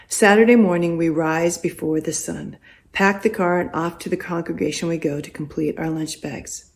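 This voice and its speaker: An older American woman reading a prepared personal testimony as a volunteer, in a deliberate, expressive storytelling way with pauses. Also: lowish voice. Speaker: congregation volunteer giving testimony.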